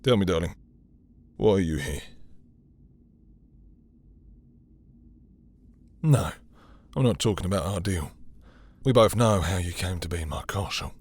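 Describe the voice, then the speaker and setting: A man playing a possessive, dominant vampire lord in intimate roleplay audio, with a husky, breathy voice and a teasing, seductive, condescending manner. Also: low-pitched, lively intonation, normal speed. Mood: seductive, hungry, then coaxing, pressing. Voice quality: husky, breathy, dropping into whispers.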